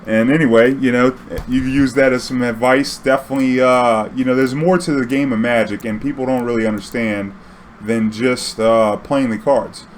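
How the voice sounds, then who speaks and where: A man casually telling an anecdote in a slangy, swearing, animated way, acting out bits of dialogue. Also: mid-range voice, moderate pace, slight background noise. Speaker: storyteller recounting his own tournament games.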